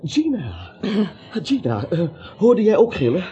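A man's voice: high-pitched, wildly swooping pitch, takes it slowly, warm tone, full character voice. An actor playing a nervous, bewildered little man in a radio play, dithering, stammering and repeating himself. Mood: nervous, flustered. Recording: muffled old radio recording, faint hiss.

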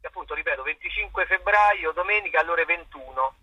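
A man's voice: fairly high pitch, fast talker, breathy edge, dry recording, highs cut off. A man answering interview questions conversationally as an actor and playwright, hesitant and searching for words, often repeating himself.

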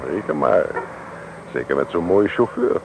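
An actor playing a bantering, easily indignant young student, a farmer's son, in a radio play with naturalistic dialogue. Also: male voice, low voice, big pitch swings, moderate pace, vocal fry, a little mellow, exaggerated voice. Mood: playful. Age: young adult. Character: excitable, touchy young male student, farmer's eldest son. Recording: noisy old radio play recording.

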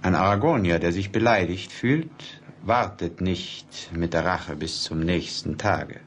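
An actor in a German radio play, playing a grave, measured man who soberly reports on a mysterious death. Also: sits low. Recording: noisy old radio play recording.

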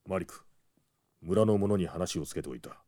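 A dignified old knight advisor, with a deep, mellow voice. He speaks in a grave, formal manner, stern and measured, as in an anime audio drama. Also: expressive, dry recording, studio quality. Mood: firm.

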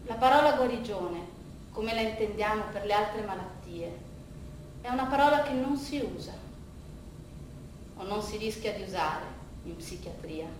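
A woman playing a weary, disillusioned older psychiatrist in a theatrical monologue, speaking in a measured, deliberate way with rhetorical repetition. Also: medium pitch, lively intonation, natural voice. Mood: weary, reflective. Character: veteran psychiatrist of thirty-five years, weary, disillusioned, reflective. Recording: roomy, distant stage recording, faint noise.

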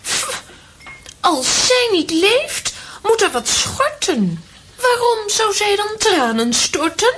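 A woman's voice: very high voice, very animated, slow pace, a bit bright, heavily acted. A woman playing a stubborn, wide-eyed little girl who believes in fairy tales, in a children's audio play. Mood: defiant.

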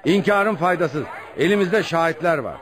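An actor playing a firm, patient police superintendent in a radio play, measured and authoritative. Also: male voice, on the high side, lively intonation, a bit bright. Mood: pressing, stern. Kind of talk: Turkish radio theatre acting.

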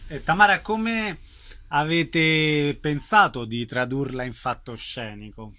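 Bright-voiced man hosting radio. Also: fairly high pitch, slow. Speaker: radio host interviewing a guest. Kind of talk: radio arts interview.

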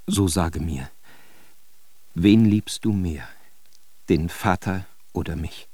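A man's voice: deep voice, slow pace, dark tone, dry recording. An actor playing a grave, brooding man demanding love in a radio drama. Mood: pleading, grave.

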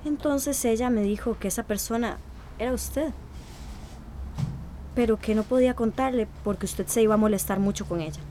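An actress playing a calm, firm doctor with a Costa Rican accent, in a radio drama. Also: female voice, on the high side, normal speed, pauses often, slight background noise, close to an everyday voice. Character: calm, firm female doctor counseling a family.

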